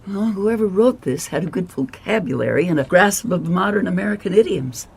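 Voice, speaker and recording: A man playing a pedantic, erudite language expert in a radio mystery, precise, with a creaky, husky voice. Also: high-pitched, lively intonation, brisk, heavily acted. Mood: pedantic, analytical.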